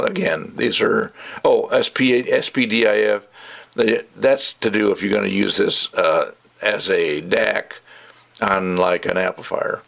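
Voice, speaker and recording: A man's voice: lowish voice, gravelly, a bit bright. A man giving a casual, rambling product review in an opinionated, emphatic way with conversational asides. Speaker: gadget reviewer.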